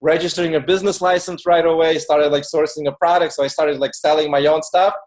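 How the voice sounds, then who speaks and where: A man's voice: a little high, steady tone, fast talker, darkish tone. A man originally from Ukraine who moved to the US as a child, talking casually and informally as an interview guest. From born in Ukraine, moved to the US at eight. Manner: informal, filler-heavy with frequent "like". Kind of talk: casual conversational storytelling.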